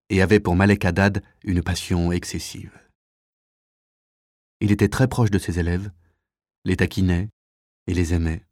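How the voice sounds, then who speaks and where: Low-pitched male literary narrator, dry sound. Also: a little mellow, no echo. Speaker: audiobook narrator.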